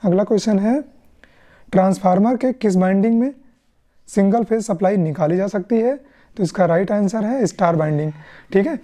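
A woman's voice: medium pitch, moderate pace, dark tone. A woman with an Indian accent teaching exam questions step by step, explaining and often checking in with short tag questions. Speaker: electrician exam tutor. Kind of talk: question-and-answer lesson explanation.

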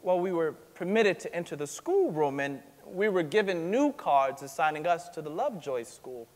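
A man's voice: fairly high pitch, animated, normal speed, a little mellow, natural voice. A young man on stage playing an earnest fifteen-year-old boy answering plainly and respectfully in court testimony. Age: young adult. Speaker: student actor in a staged reading. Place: large theatre stage, indoors. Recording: echoey theatre hall, long reverb.

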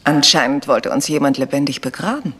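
An actress playing a sharp, observant detective's wife in a radio crime drama. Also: female voice, very deep voice, a little fast. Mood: wry, suspicious. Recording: reverberant hall, faint music.

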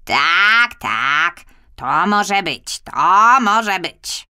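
A woman's voice: on the low side, animated, very slow, bright, forceful tone, clean tone, full character voice. A woman voicing a kindly, hesitant female crow in a children's audiobook, cawing and repeating her words emphatically. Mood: cawing, pondering. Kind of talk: children's audiobook character acting.

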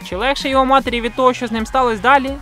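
A man's voice: very high voice, fairly even intonation, rapid-fire, bright, forceful tone. A man from Ukraine narrating a scripted video review in a blunt, sardonic, self-deprecating way, with slang and swearing. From Ukraine, grew up in a village. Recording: music under the voice. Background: background music.

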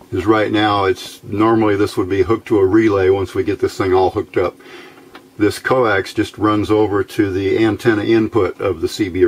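A middle-aged man giving an informal, unhurried, step-by-step electronics demonstration as a hobby radio builder. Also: low voice, vocal fry, a little hiss or hum. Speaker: amateur radio builder presenting a project. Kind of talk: casual hands-on electronics demonstration. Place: indoor workbench.

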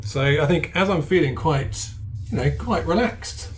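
A man's voice: fairly high pitch, expressive, slight background noise, rough recording. A man playing a tabletop game, joking and playful. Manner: playful, joking, quick to laugh. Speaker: player.

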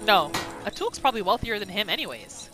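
A woman's voice: mid-range voice, big pitch swings, fairly quick. A woman hosting a gaming video, giving reactive roleplay commentary over gameplay. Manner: reactive, narrates her character in third person. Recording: faint game music behind.

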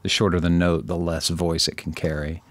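Guy with a deep creaky voice walking thru software, thinking out loud, dry close mic. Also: little pitch movement, normal speed, no echo. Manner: informal, thinking aloud, self-deprecating. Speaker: audio software tutorial presenter. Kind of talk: casual screen-recorded tutorial.

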